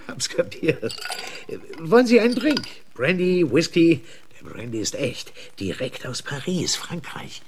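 An actor playing a jovial, boastful rich American host in a radio play, effusive and given to chuckling. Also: male voice, a little high, sing-song, slow pace, a little hiss or hum, exaggerated voice. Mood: jovial, eager.

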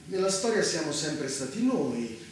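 An actor playing a ruthless, self-righteous warlord in a dystopian stage drama, speaking in grandiose, taunting phrases with dramatic pauses. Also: male voice, on the high side, big pitch swings. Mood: heated, accusing. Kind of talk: intense theatrical stage acting. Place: theatre stage, recorded from afar. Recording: echoey theatre, distant mic, loud noise.

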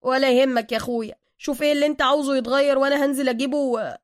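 A woman voicing an excitable, touchy but loyal streetwise man with a slangy Egyptian accent, in an audio story. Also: high-pitched, very fast, no room sound, clean studio sound, pitched above the performer's own voice, close to an everyday voice. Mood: placating. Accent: Egyptian, colloquial Cairene. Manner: gushing, slangy, defensive.

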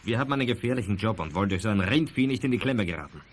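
An actor playing a bullying crime boss in a German youth radio play, gruff and commanding. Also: male voice, lowish voice, lively intonation, racing, slight background noise, close to an everyday voice. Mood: cold, threatening. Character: bullying male crime gang boss, rough and domineering.

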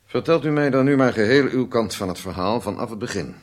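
An actor playing a stern police major in a radio drama, formal, measured and insistent in manner. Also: male voice, mid-range voice, lively intonation, lightly acted. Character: stern, methodical male police major interrogating a spy.

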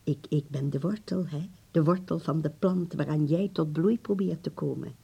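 An actress playing an elderly grandmother in a radio drama, frail yet wise, tender and firm, speaking deliberately in a breathy old voice. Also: sits low, mellow, full character voice. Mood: tender, confiding. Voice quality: husky, breathy, creaky old voice. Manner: slow, deliberate, with repeated words.

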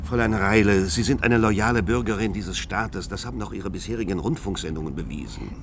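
An actor in a science-fiction radio play, playing an earnest, evasive secret-service official who speaks formally and pleadingly. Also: male voice, lowish voice, brisk, lightly acted. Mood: urgent, pleading. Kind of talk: German science-fiction radio drama dialogue. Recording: noisy vintage radio play recording.

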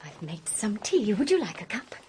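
An actress playing a forthright, independent young woman in a radio drama, brisk and probing yet sympathetic. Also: medium pitch, big pitch swings, heavy background noise, natural voice. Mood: friendly, probing. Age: young adult.

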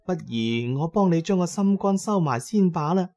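A menacing undead bride, acted out by a male storyteller for a horror tale. She is cold and scornful, sneering as she passes judgement, with her pitch lifting on the sharper phrases. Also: lively intonation, normal speed, a little mellow. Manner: sneering, accusing.

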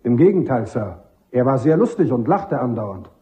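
A man's voice: medium pitch, big pitch swings, moderate pace, dark tone, close to an everyday voice. A man playing a dutiful army sergeant on the witness stand in a German radio play, reporting in a clipped, deferential, factual way.

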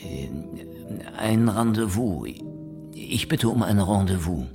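An actor playing a wry, world-weary middle-aged investigator in a noir radio drama, dry and laconic, with a gravelly voice. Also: male voice, lowish voice, animated, takes it slowly. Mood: hesitant, wry. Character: wry, hard-boiled, world-weary older investigator in Moscow. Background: music.

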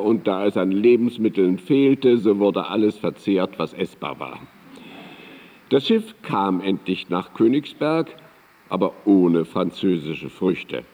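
A droll period storyteller in a radio play. His voice sits low and is very relaxed in tone. The literary phrasing is formal yet expressive, carried in a reverberant hall. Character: male contemporary telling droll anecdotes about a philosopher.

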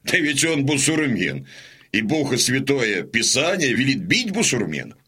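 An actor playing a hot-headed, stubborn old Cossack warrior in an audio drama, gruff-voiced, blunt and insistent. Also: male voice, medium pitch, very animated, measured pace, bright, forceful tone, exaggerated voice. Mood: probing, insistent.

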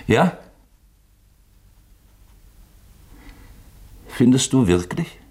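An actor playing a shrewd, probing man in a German radio play, speaking with deliberate, insistent drama. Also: medium pitch, very animated, a little mellow, slightly rough. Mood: sly. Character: shrewd, probing man quoting a suspect manuscript, insinuating.

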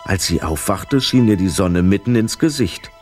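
A male narrator of a children's radio story. His voice is fairly low and he reads with expressive drama. Quiet music plays behind him. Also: normal speed.

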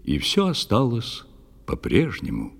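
A man narrating a poetic tale as scripted storytelling, with a solemn, measured, dramatic delivery. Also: lowish voice, wildly swooping pitch, vocal fry.

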